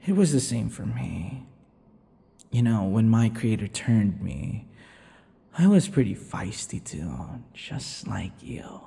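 A man voicing a possessive, centuries-old vampire in an intimate ASMR boyfriend roleplay, teasing and breathy, often dropping toward whispers. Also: fairly low pitch, big pitch swings, slow pace, warm tone, heavily acted. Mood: reminiscing. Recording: long reverberant echo.

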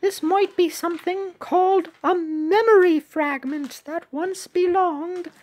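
A tiny, timid cookie sidekick from a mobile game, voiced by a woman. The voice is very high and cutesy, earnest and eager to help. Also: fairly even intonation, measured pace, a little mellow. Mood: earnest, wondering. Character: small, helpful, timid young cookie sidekick. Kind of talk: amateur read-aloud of mobile game dialogue.

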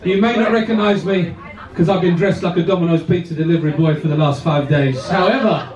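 A man's voice: on the high side, brisk. A man working as a cruise director, addressing a crowd through a handheld microphone with a jokey, showman-like flourish. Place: large lounge on a river ship, indoors. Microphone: handheld microphone, filmed from across the room. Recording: roomy lounge, far from camera, loud crowd. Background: loud crowd.

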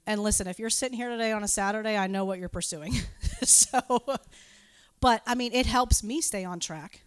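A woman's voice: mid-range voice, normal speed, clean tone, no echo. A woman giving an informal sermon with personal anecdotes, talking in a conversational, self-deprecating and giggly way. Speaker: preacher speaking at a church gathering.

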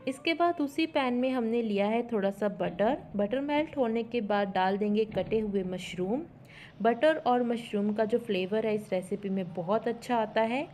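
A woman's voice: on the high side, a little mellow, a little hiss or hum. A woman giving a step-by-step instructional cooking voice-over, mixing in English words. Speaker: cooking show host. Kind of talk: recipe tutorial voice-over.

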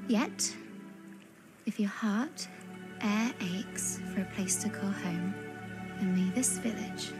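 An actress playing a gentle, wistful young village woman in a fantasy game, hesitant and tender, often breathy and near a whisper. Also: medium pitch. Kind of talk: cinematic fantasy game acting, archaic speech.

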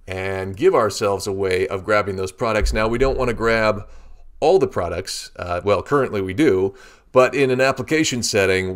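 A man teaching a coding tutorial, explaining each step in a steady walkthrough while he works at his computer. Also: lowish voice. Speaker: programming tutorial instructor. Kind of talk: screen-recorded coding walkthrough.

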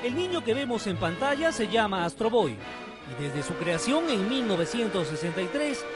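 A Peruvian man narrates a television feature with dramatic emphasis. His voice is high and swings widely in pitch as he tells the story theatrically. Music plays behind him. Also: very animated, moderate pace. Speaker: TV reporter.

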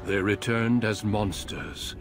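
Deep, solemn old-order warrior narrator. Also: male voice, fairly even intonation, over music. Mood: solemn, ominous. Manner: solemn, portentous, measured. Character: grave, weary male warrior of an ancient order. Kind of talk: scripted fantasy game narration.

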